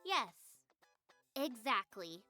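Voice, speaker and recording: A woman's voice: a little high, big pitch swings, extremely slow, pushed and bright, faint music behind, heavily acted. A woman playing a defiant middle-school magical girl in a visual novel, intense, self-righteous and set on vengeance. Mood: smug, calm. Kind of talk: voiced visual novel acting.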